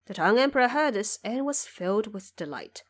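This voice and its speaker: A woman's voice: mid-range voice, animated, brisk. Woman narrating a scripted audio drama.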